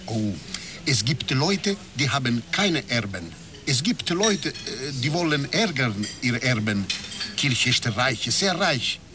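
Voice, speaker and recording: An animated Italian barkeeper with an Italian accent, opinionated and swinging in pitch. He sounds set back from the mic, with loud clatter of dishes around him, in a radio drama. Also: slow, far from the mic, background noise. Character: opinionated Italian barkeeper, male, skeptical of the rich church.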